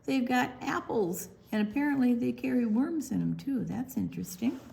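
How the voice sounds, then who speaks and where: A woman's voice: fairly high pitch, animated, normal speed, creaky, darkish tone. An older woman reading a picture book aloud to young children, drawing out words expressively and adding explanatory asides. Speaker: storytime reader.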